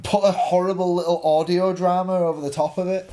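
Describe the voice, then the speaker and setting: A man's voice: sits high, flat delivery, fairly quick, warm tone. A man giving his opinions in a casual, conversational video game discussion.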